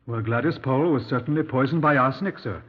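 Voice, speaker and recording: An actor playing a brisk, respectful local police sergeant, deferential to his superior, in a 1950s radio drama. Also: medium pitch, slightly rough, close to an everyday voice. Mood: insistent. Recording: tinny, narrow-band 1950s radio recording.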